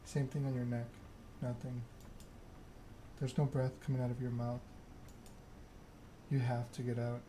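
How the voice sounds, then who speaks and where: Male ASMR narrator for a text-driven game, very even pitch, clearly noisy ambient background. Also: measured pace, pauses often. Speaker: streamer reading a game aloud.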